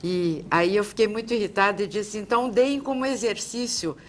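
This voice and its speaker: A woman's voice: medium pitch, moderate pace, slight background noise. An older woman, speaking as an interviewer on a television studio panel in long, articulate sentences. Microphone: lapel mic.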